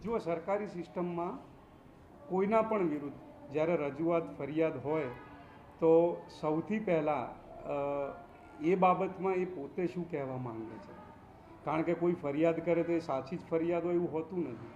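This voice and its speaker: A man's voice: on the high side, moderate pace, a little mellow. A man, an official giving an explanatory statement to the press. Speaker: education official. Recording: dull, echoey room with background noise.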